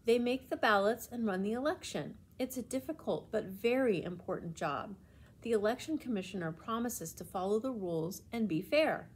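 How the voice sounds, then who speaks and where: A woman voicing an encouraging, earnest storybook schoolteacher, explaining things in a measured, emphatic way. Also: mid-range voice, lively intonation, breathy edge.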